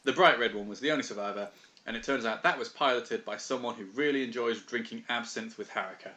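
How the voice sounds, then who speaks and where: A man's voice: mid-range voice, fast, sharp tone. Dry british guy rambling about anime on a podcast, average voice. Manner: dry, wry, rambling with fillers. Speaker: podcast co-host. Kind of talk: casual anime discussion.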